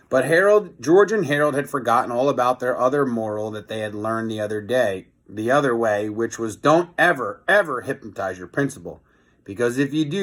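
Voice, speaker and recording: Male storybook reader, mid-pitched, animated delivery with casual, chatty commentary. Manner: expressive, chatty commentary between passages. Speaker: teacher reading a children's book aloud. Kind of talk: animated storybook read-aloud with casual asides.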